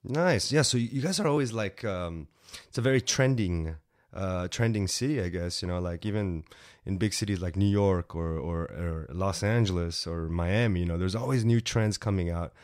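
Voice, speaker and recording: A man's voice: low voice, normal speed, no echo, studio quality. A young man hosting a podcast interview, upbeat and chatty, with frequent fillers. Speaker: podcast host. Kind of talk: casual interview chat.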